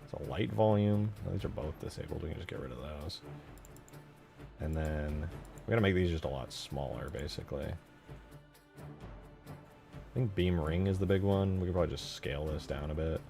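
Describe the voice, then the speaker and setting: Deep-voiced man thinking aloud over music. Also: normal speed, pauses often, warm tone. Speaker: game modder. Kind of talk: casual screen-recorded modding commentary, thinking aloud. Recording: music behind the voice. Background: clear background music.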